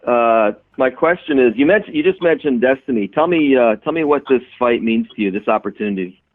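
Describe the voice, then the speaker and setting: A man's voice: medium pitch, expressive, fairly quick, no echo. A man working as a sports reporter, putting questions to an interviewee over a telephone. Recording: narrow, tinny phone line.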